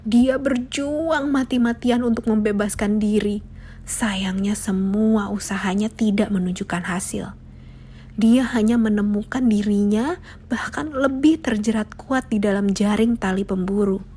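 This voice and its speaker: A woman's voice: medium pitch, a little slow, mellow, husky. A woman narrating a scripted children's fable as a storyteller.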